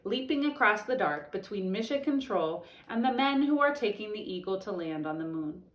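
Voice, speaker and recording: A woman's voice: medium pitch, normal speed. A young woman teacher reading a picture book aloud to children, stopping for chatty explanations. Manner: expressive storybook reading broken up by chatty explanations. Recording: echoey room.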